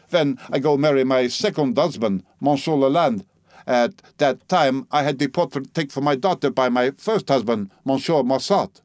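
A man's voice: mid-range voice, darkish tone, no room sound, studio quality, natural voice. A man voicing an indignant eighty-two-year-old French woman who speaks broken English with a French accent. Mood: haughty. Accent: put-on French accent.

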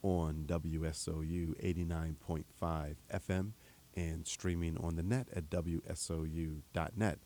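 A man's voice: sits low, fairly even intonation, warm tone. A man hosting a radio interview program, often stumbling and repeating words as he asks questions. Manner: halting, repeats words when starting questions. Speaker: radio host. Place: radio studio. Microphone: studio microphone.

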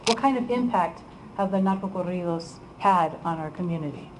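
A woman's voice: lowish voice, fairly quick, a little hiss or hum, poor audio. A woman from Iowa giving a chatty, informal talk to an audience as a guest speaker, with frequent ums and laughs. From Iowa, USA.

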